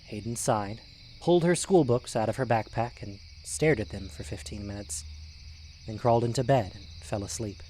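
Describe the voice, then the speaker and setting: A man's voice: fairly low pitch, moderate pace, mellow, slight background noise. A man narrating a scripted audio drama as its storyteller.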